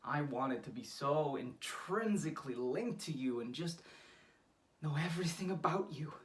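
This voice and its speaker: An actor playing a young lover confessing aching love in a halting, earnest, intimate monologue. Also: mid-range voice, expressive, close to an everyday voice. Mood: wistful, yearning. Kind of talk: naturalistic monologue acting. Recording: distant microphone.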